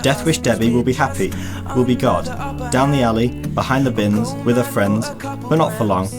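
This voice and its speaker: A man narrates a radio play in a mid-pitched voice. The poetic, scripted lines sit over clear guitar music. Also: moderate pace. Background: music with plucked guitar.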